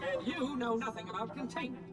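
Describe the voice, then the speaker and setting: An actor playing an officious, pedantic robot caretaker in a video game, with prim, precise diction and synthetic processing. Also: male voice, extremely high pitch, quick pace, shouting, distant mic, over loud music. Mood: officious, chipper.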